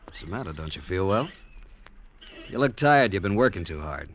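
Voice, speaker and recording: An actor playing a charming, easygoing investor on vacation, hearty and smooth-talking in a vintage radio drama. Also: male voice, low-pitched, big pitch swings, moderate pace, a bit bright, highs cut off. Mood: genial. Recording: old radio recording with faint noise.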